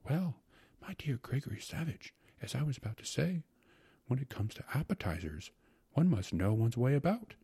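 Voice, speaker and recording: A man voicing a gluttonous, syrupy court secretary in a storybook reading, breathy and savoring every word as he wheedles and repeats himself. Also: fairly low pitch, very animated, measured pace, a little mellow, dry recording, pitched above the performer's own voice, exaggerated voice. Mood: rapturous, savoring. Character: gluttonous, syrupy male court secretary rhapsodizing about food.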